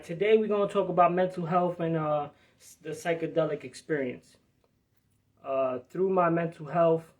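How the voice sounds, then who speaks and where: Man from New Jersey, podcast host, slightly high voice, loose rambling delivery full of swearing. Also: darkish tone. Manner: profane, rambling, streetwise talk. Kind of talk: casual live podcast chat.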